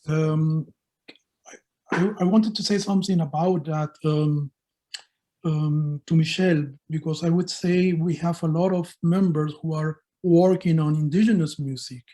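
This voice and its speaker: A man's voice: fairly high pitch, dark tone. A man on an academic video call panel, hesitant and restarting before he makes his point. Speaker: scholarly association member on panel.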